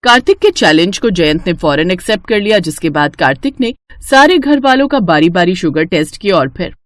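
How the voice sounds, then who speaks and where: A woman's voice: fairly low pitch, expressive, fairly quick, no room sound. A woman narrating a scripted moral story as a storyteller.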